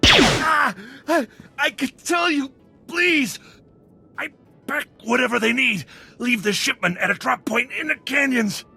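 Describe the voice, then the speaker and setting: A man's voice: extremely high pitch, measured pace, gravelly, bright, forceful tone, husky, faint music behind, exaggerated voice. A man playing a nervy, defensive smuggler in a video game, agitated in manner. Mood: panicked pleading. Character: nervy, defensive male crime syndicate smuggler. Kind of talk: video game dialogue acting.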